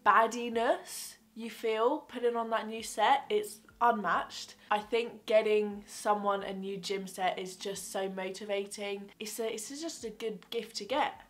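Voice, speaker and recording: Bubbly young woman rambling through a vlog. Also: mid-range voice. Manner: bubbly, rambling, self-correcting. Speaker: fitness vlogger. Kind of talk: casual chatty gift-guide vlog. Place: small bedroom with fairy-light backdrop.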